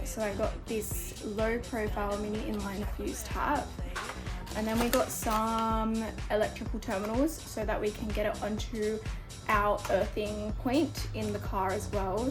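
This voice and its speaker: A woman giving casual, informal how-to narration for a DIY vlog, with slangy, unpolished wording. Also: mid-range voice. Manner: informal, learning as she goes, slangy. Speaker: vlogger showing a DIY car install.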